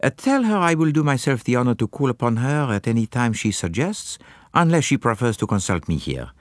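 A man voicing a fussy, precise older detective who speaks with polite, measured courtesy and often murmurs softly. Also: medium pitch, sing-song, no echo, clean studio sound. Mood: courteous.

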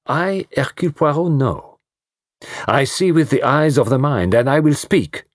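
A man voicing a fussy, commanding detective, pompous and precise, in a slightly husky voice. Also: medium pitch, lively intonation, a little slow, dry recording, clean studio sound, pitched above the performer's own voice. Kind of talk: audiobook reading.

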